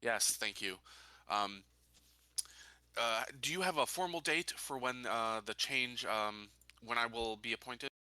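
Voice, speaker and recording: A man playing an earnest, dutiful colony official in a tabletop game, reporting in a formal, halting, businesslike way. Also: medium pitch, pushed and bright, clean tone, dry recording.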